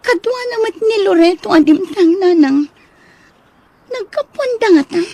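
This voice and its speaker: Woman playing a high-pitched, headstrong young daughter. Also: animated, measured pace, warm tone, heavily acted. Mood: insistent. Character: headstrong, pleading young Filipina daughter in trouble. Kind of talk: Ilocano audio drama acting.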